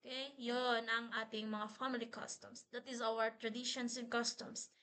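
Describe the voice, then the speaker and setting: Bright-voiced Filipino woman teaching a class. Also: fairly high pitch, level tone, normal speed, slight background noise. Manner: mixes English and Tagalog, frequent "okay?" checks. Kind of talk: online class lecture.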